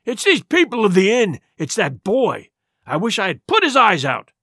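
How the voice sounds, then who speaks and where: A man voicing a villainous blind pirate beggar who shouts and curses imperious orders in a storybook reading. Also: high-pitched, big pitch swings, normal speed, no room sound, clean studio sound, full character voice. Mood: raging, impatient.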